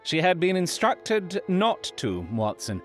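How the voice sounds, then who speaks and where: A man playing a brilliant, proud Victorian gentleman detective in an audio drama, with formal, eloquent and theatrical speech. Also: high-pitched, big pitch swings, takes it slowly, faint music behind, exaggerated voice. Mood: brisk.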